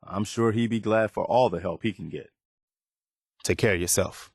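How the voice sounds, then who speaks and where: Earnest, low-voiced wasteland militia leader. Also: male voice, gravelly, darkish tone, breathy edge, no echo, clean studio sound. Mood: earnest, reassuring. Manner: earnest, measured, sincere. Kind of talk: video game character dialogue.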